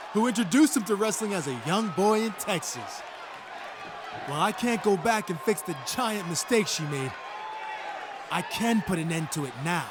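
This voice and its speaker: An actor playing a hostile male wrestler in a video game, delivering a taunting, projected promo speech. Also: very high-pitched. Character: hostile wrestler calling out his rival in the ring. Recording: loud arena crowd noise.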